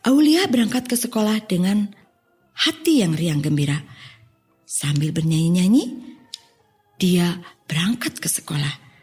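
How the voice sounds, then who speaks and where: Husky, animated woman telling children's stories. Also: medium pitch. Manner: addresses child listeners directly, encouraging. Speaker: storyteller. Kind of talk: expressive children's story narration.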